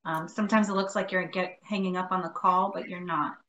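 A woman moderating an online class, giving relaxed, helpful instructions to the participants. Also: on the low side, fairly quick. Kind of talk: casual instructions. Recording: roomy call audio, faint noise.

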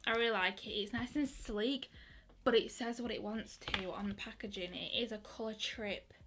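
A young woman in her twenties chatting excitedly through a makeup review, with lots of fillers and restarts. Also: on the high side, moderate pace, background noise. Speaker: beauty vlogger. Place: bedroom with colourful lighting.

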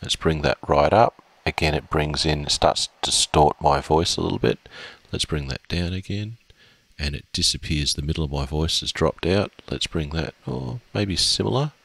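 Middle-aged man, very low gravelly voice, dry close mic, patient walkthrough teacher. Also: moderate pace, no echo. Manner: steady step-by-step explaining with fillers. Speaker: music tech teacher. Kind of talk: screen-recorded software tutorial.

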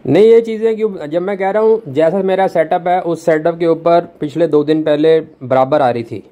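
Mellow-toned young man, insistent and blunt. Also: a little high, moderate pace, lo-fi sound. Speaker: customer making a complaint call, tech vlogger. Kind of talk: phone complaint, unscripted argument. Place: room at home.

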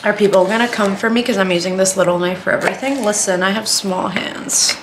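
A woman's voice: mid-range voice, a little fast, noisy background. A woman giving a casual, chatty home cooking demonstration, informal and full of self-deprecating asides. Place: indoors, home kitchen.

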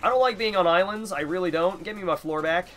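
A man's voice: high-pitched, quick pace, a bit bright, soft background music. A young man with a stuffy nose giving casual, rambling, self-deprecating gameplay commentary. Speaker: gamer, let's player.

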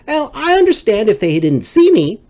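A man's voice: very high voice, huge pitch swings, moderate pace, darkish tone. A middle-aged man telling a comic story as a livestream host, exasperated and swearing freely. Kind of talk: comedic storytelling monologue.

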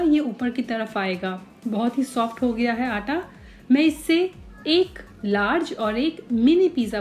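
Mellow woman explaining a recipe. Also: a little high. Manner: calm, step-by-step explaining. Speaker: cooking channel host. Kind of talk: voice-over recipe instructions.

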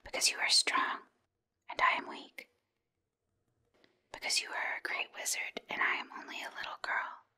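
A woman's voice: moderate pace, long pauses, pushed and bright. A woman voicing an earnest, meek little storybook girl in a plaintive whisper. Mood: pleading. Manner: plaintive, simple.

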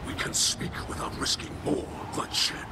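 An actor playing a solemn, devout, wary alien leader in a video game, with a breathy rasp and formal, reverent manner. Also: male voice, whispered, lightly acted. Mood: grave, guarded. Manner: slow, measured, formal and reverent. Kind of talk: video game character acting.

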